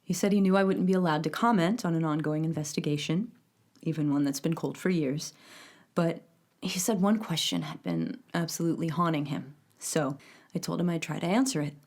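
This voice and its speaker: A woman playing a composed female official who speaks in a measured, matter-of-fact way in a documentary-style interview. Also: deep voice, lively intonation, a little mellow. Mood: composed, reflective.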